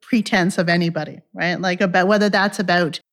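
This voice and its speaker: A woman interviewing an author, speaking thoughtfully with frequent pauses and fillers. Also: lowish voice, steady tone. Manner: thoughtful, halting with fillers, enthusiastic. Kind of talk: conversational interview.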